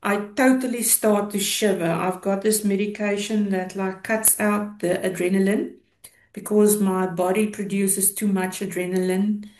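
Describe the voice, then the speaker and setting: A South African woman in her fifties, giving personal testimony in an interview in a halting, reflective way, often pausing mid-sentence. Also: medium pitch, steady tone, darkish tone. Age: 50s. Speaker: interview guest, police officer. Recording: roomy, echoing sound.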